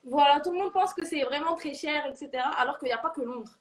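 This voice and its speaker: A woman's voice: sits high, fast, slight background noise. A woman in her early twenties with a Moroccan accent, chatting casually and informally in an interview, with slangy filler words and a giggly manner. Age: early 20s. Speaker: international university student giving a testimonial.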